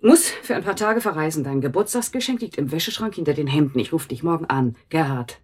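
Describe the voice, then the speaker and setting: A woman's voice: sits low, animated, a little fast, breathy edge. An actress playing an anxious, chatty housekeeper in a radio drama, rambling emotionally and protective of her brother. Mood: anxious, defensive. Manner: rambling, wordy, emotional, self-correcting.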